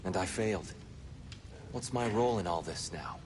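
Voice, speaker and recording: An actor playing a weary, defeated old god in a video game, subdued and full of self-doubt. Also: male voice, low-pitched, normal speed, darkish tone, in a large hall, a little hiss or hum, natural voice. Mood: weary, doubtful. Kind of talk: dramatic video game acting.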